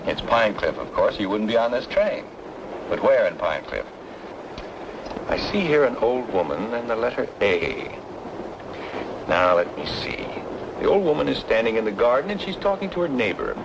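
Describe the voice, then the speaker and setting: An actor playing a charming, smooth-talking man with a playful, teasing, theatrical manner in an old radio noir drama. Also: medium pitch, sing-song, normal speed, background noise. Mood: teasing storytelling. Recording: vintage radio drama, music behind.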